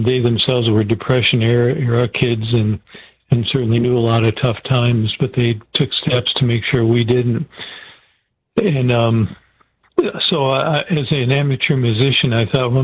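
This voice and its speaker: An older man calling a radio show, explaining himself earnestly in a casual, halting way over a phone. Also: medium pitch, no room sound. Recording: dull lo-fi phone call.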